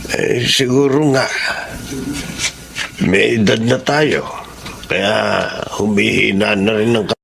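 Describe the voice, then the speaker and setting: A man's voice: fairly low pitch, slow, gravelly, pauses often, fairly bright tone, exaggerated voice. An actor playing a patient, kindly ageing Filipino grandfather in a radio drama, speaking mildly. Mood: weary.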